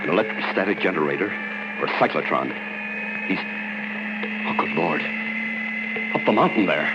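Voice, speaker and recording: Serious doctor in old radio horror, lowish measured voice, muffled hissy recording. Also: male voice, expressive. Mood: awed dread. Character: sober, thoughtful male doctor, scientifically minded.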